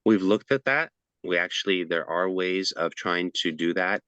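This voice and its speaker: A man's voice: sits low, expressive, moderate pace, pushed and bright, dry recording, lo-fi sound. A man giving a casual presentation over a video call, chatty and loose, with frequent fillers and restarts.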